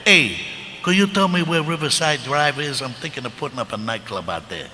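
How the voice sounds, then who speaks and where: Man doing a bright, cocky New wise guy. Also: mid-range voice, animated, fairly quick, voice pushed down. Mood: breezy, shifty. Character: cocky, streetwise cartoon wise guy playing a teenage boy. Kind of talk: live comedic script reading. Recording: echoey convention hall, background noise.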